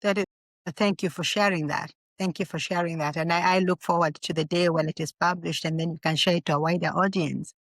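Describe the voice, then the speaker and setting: A woman's voice: lowish voice, steady tone, a little fast, a bit bright, no echo, studio quality. A woman journalist who interviews her guest, asking polite and encouraging questions and offering short acknowledgments.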